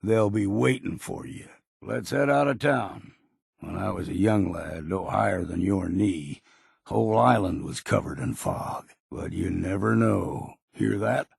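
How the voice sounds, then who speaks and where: An actor playing a gruff, hard-drinking old island hunter and guide in a video game, curt and folksy, with a gravelly, raspy voice. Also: male voice, low voice, unhurried, a little mellow, no room sound, clean studio sound, exaggerated voice. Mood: grave, instructive. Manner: curt, folksy, plain-spoken. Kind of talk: video game character dialogue.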